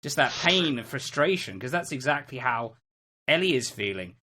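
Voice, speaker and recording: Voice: medium pitch, very animated, moderate pace, pushed and bright. A podcast co-host talking casually and emphatically about games, opinionated and dotted with conversational asides. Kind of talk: casual video game discussion.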